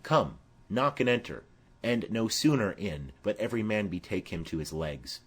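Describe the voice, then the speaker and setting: A man voicing a brisk, practical young gentleman in a declamatory Shakespeare audiobook reading. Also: lowish voice, expressive, breathy edge. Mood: brisk, dismissive.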